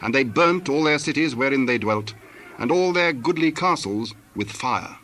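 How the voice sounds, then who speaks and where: A man serves as narrator of a scripture reading. His mid-pitched voice is formal and solemn, with faint noise in the recording. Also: expressive, normal speed, a bit bright.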